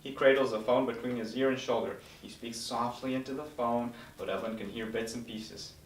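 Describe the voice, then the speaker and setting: A man's voice: mid-range voice, steady tone, a little fast, a little hiss or hum. A man reading stage directions as scripted narration at a table read in an echoey room. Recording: echoey room, distant mic.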